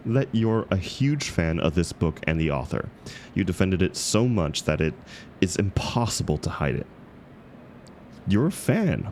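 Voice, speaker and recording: A man acting as a bashful book club guy with a deep, gravelly voice. He talks hesitantly, stumbling over himself and putting himself down in an intimate romantic audio roleplay. His slow delivery swings expressively up and down. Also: darkish tone, slight background noise, full character voice. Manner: hesitant, stammering, self-deprecating. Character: awkward, flustered book club member, bashful critic. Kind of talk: scripted romantic audio roleplay, intimate one-sided dialogue.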